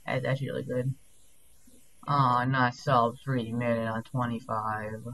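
A man's voice: monotone, fairly bright tone, poor audio. Young man rambling through a speedrun. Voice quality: deep-sounding voice. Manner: rambling, filler-heavy, quick asides. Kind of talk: casual gaming chat while speedrunning.

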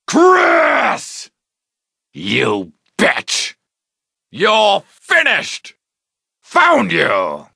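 A ruthless male supervillain from a video game. He sneers in a lively, forceful voice, recorded dry. His delivery is slow, haughty and menacing. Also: sits high, vocal fry, slightly rough, no room sound, heavily acted. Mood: furious. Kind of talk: video game villain acting.

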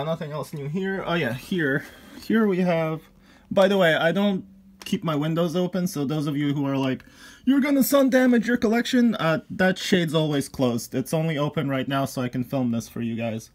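A man in his thirties giving a casual, rambling walk-through of his collection room, filmed on a handheld phone. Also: a little high. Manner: rambling, many ums and uhs, chuckles. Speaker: collector showing his toy pickups. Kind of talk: casual vlog chat. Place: cluttered collection room indoors. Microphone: handheld phone camera, walking around.

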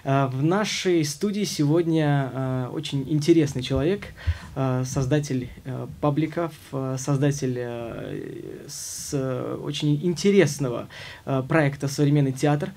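A man hosts a studio interview, introducing a guest and asking questions. His speech is halting and full of filler sounds between words, in a mid-pitched voice. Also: creaky, darkish tone. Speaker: show host. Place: studio with logo backdrop. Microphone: studio mic on stand.

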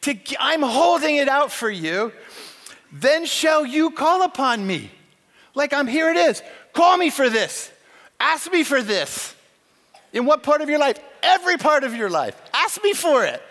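A middle-aged man preaching on a large church stage, emphatic and animated, acting out quoted lines as he tells a story. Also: extremely high pitch, measured pace. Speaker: conference speaker. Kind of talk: sermon with storytelling. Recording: long hall reverb.

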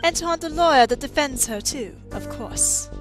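A woman's voice: sits high, lively intonation, moderate pace, music in the background. A woman voicing a mocking, disdainful scientist in a fan-dubbed video game. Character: scornful, mocking female scientist. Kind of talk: fan dub of a visual-novel video game.

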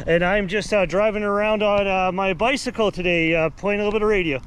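A middle-aged Canadian man with a high, light voice, calling out call signs in a brisk, friendly rhythm. He is outdoors on a bicycle, and a clear wash of outside noise sits under his voice. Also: fairly even intonation. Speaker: ham radio operator. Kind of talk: on-air radio calls while cycling. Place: outdoors on a bicycle, residential street. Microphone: handheld radio mic plus helmet camera. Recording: noisy outdoor recording.

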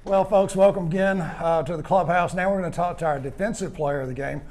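An older man interviewing high school football players in a clubhouse after a game, upbeat and full of praise. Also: fairly quick, a little mellow. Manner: upbeat, praising, rambling questions. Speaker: postgame interviewer. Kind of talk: postgame sports interview.

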